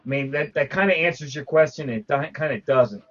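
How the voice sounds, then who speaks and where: A man's voice: fairly high pitch, brisk. Guy rambling on a collectors chat show, lots of restarts, kinda muffled lo-fi audio. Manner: rambling, frequent restarts and fillers. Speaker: podcast co-host. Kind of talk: casual panel chat about collecting.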